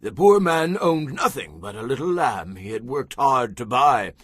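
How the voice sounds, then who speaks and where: A man's voice: mid-range voice, sing-song, normal speed, fairly bright tone, husky, dry recording, clean studio sound. An actor playing a solemn, principled man of ancient Israel in a dramatized audio Bible, earnest and declamatory. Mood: solemn storytelling.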